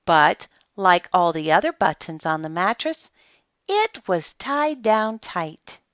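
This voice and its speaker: A woman reading a children's story aloud, with dramatic pauses and deliberate emphasis. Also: fairly low pitch, expressive, a bit bright, no room sound, lo-fi sound. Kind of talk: expressive children's storybook narration.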